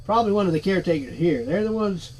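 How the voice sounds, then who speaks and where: A man's voice: high voice, expressive, fast, a little mellow, low-quality recording. A man voicing a sardonic, wisecracking floating skull in a cheeky, sarcastic manner, reading game dialogue aloud. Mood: knowing, dismissive. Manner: cheeky, sarcastic, street-talking.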